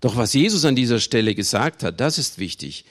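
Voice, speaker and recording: A man from Germany preaching a sermon, with emphatic delivery, deliberate pauses and conversational asides to his listeners. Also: medium pitch, big pitch swings, a bit bright. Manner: emphatic, with dramatic slow phrasing and pauses. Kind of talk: sermon to a congregation.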